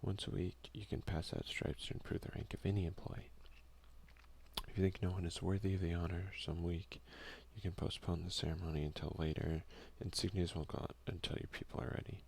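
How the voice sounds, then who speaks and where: A man doing a soft-spoken, unhurried ASMR game playthrough, reading text aloud with casual asides. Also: sits low, flat delivery, creaky, slight background noise. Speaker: let's play streamer.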